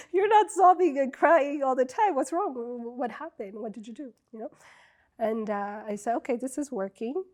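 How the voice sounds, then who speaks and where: A middle-aged woman from Ethiopia, a wellness coach, telling her story as an interview guest in a reflective way, with many ums and pauses. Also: sits high, a little mellow.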